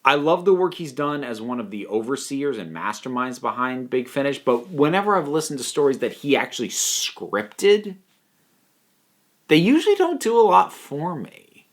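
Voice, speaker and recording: Man giving a halting, opinionated review. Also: medium pitch, big pitch swings. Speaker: video reviewer and channel host. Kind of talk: casual opinionated review talk to viewers.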